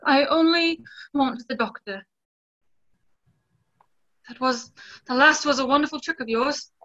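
A woman as an anxious, high-voiced young lady. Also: measured pace, bright, forceful tone, muffled recording. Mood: urgent. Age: young adult. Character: anxious, earnest young lady worried for her brother. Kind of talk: remote script reading of a stage play. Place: plain room at home, video call.

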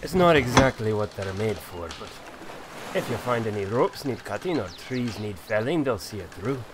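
An actor playing an earnest, bashful and courteous knight of humble birth in a fantasy audio drama. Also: male voice, on the low side, lively intonation, normal speed, noisy background, lightly acted. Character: humble, gallant young male knight of low birth.

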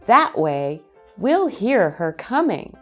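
Woman voicing an eager, high-pitched young mouse. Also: very animated, takes it slowly, a bit bright, faint music behind, full character voice. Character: eager young male mouse with a clever plan.

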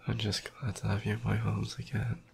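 A tired young man with a croaky voice, softly whispering an affectionate boyfriend roleplay close to the mic. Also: lowish voice, monotone, fairly quick, a little hiss or hum. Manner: soft, affectionate whispering with quiet laughs. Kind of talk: ASMR roleplay.

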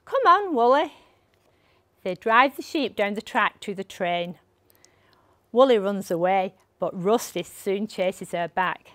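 Slow-paced older woman reading a bedtime story. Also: medium pitch, dry recording. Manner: slow, expressive read-aloud delivery for young children. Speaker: library storyteller. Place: indoor set with a sofa. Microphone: lapel mic.

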